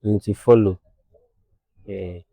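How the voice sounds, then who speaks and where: Soft-spoken young man, low voice. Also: steady tone, slow pace, creaky, no room sound. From Ghana, born in Nigeria. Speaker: guest, fashion designer. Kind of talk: casual interview.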